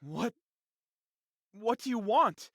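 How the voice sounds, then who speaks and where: A man's voice: high-pitched, animated, slow pace, a bit bright, no room sound, studio quality, exaggerated voice. A man voicing an anxious impostor sprite in a video game cutscene, flustered and pleading, with a dramatic delivery. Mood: indignant, flustered. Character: anxious impostor sprite posing as a male wish master. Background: background music.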